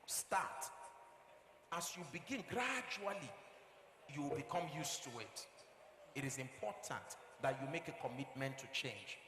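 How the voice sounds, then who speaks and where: A man's voice: fairly high pitch, animated, unhurried. A man with a Nigerian accent acting on stage as a firm, fatherly marriage counsellor, lecturing emphatically with repetition and rhetorical questions. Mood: firm, encouraging. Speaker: actor in a stage play. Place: theatre stage with live audience. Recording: echoey hall, noisy live stage recording.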